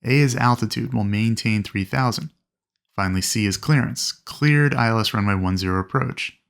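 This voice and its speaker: A man narrating an instructional lesson as a flight instructor, explaining things clearly and methodically. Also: on the low side, moderate pace, fairly bright tone. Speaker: flight instructor presenting a training video. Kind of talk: instructional narration with acted radio calls.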